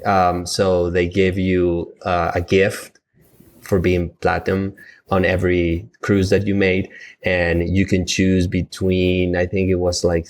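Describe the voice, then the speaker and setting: Colombian man, native Spanish, deep voice, halting casual chatter. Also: vocal fry. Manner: halting, many fillers and restarts. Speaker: travel agent, guest. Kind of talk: casual podcast chat.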